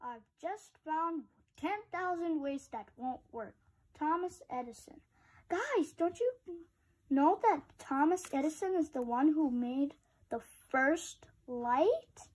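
High-voiced, slow-paced female storybook reader. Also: lively intonation, darkish tone, low-quality recording. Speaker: read-aloud host. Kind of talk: reading a picture book aloud with casual asides to children.

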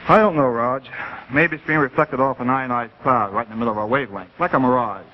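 Actor as fast-talking baffled 1950s TV engineer. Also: male voice, mid-range voice, lightly acted. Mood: earnest, baffled. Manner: emphatic, explanatory. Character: serious, baffled male television chief engineer. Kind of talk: 1950s live TV drama acting. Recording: dull 1950s TV audio, faint noise.

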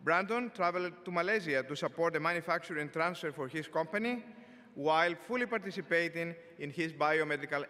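An older man, a dean, giving a formal commencement address from a podium, speaking in a measured, deliberate way with the odd filler word. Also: on the high side, bright, forceful tone. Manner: measured, deliberate delivery with occasional filler words. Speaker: engineering school dean. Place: large arena stage at a podium. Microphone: podium microphone. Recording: long reverb of a large arena.

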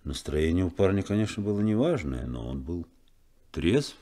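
Deep-voiced, principled ship's officer. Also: male voice, sing-song, dark tone. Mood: calm, probing. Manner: measured, deliberate, probing. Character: principled ship's first mate, calm, probing male officer. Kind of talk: 1970s Soviet radio play acting.

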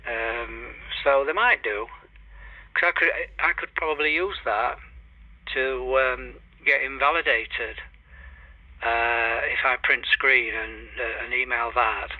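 A man's voice: mid-range voice, slow, dry recording. An older man chatting in a hesitant, rambling way as an amateur radio operator. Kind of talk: casual radio chat. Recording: dull lo-fi radio link.

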